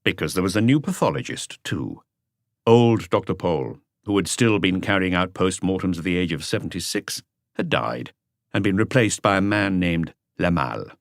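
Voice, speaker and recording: A man reading a detective story as an audiobook narrator, in a measured, unhurried way. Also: low-pitched, expressive, gravelly, dry recording, studio quality.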